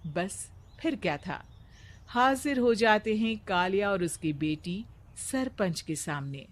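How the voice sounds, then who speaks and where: A woman narrating a cartoon moral story in a calm, measured storytelling manner. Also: medium pitch.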